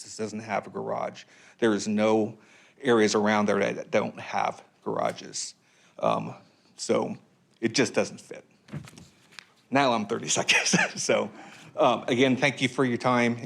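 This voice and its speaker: A man with a low, gravelly voice speaks at a city council meeting. His delivery is halting and full of ums and restarts. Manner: hesitant, many ums and restarts. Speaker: public commenter. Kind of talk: public comment at a council meeting.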